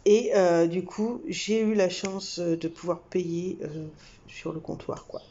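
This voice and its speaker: A woman's voice: fairly low pitch, slow pace, dull audio. A young woman from France, telling a story casually to camera with lots of fillers and laughter. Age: young adult. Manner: chatty, many fillers and laughs, animated swings. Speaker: vlogger.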